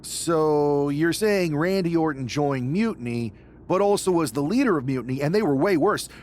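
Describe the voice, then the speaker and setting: A man's voice: a little high, animated, normal speed, darkish tone, a little hiss or hum, close to an everyday voice. A man playing a skeptical, puzzled wrestling teammate in casual video game dialogue.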